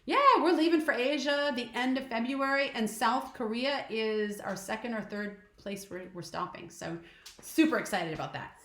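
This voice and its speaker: A woman's voice: on the high side, echoey room. A woman in her forties co-hosting a casual live stream, reading viewer questions aloud and answering them in a chatty way. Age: 40s. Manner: chatty, reads comments aloud and answers quickly. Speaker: travel channel co-host.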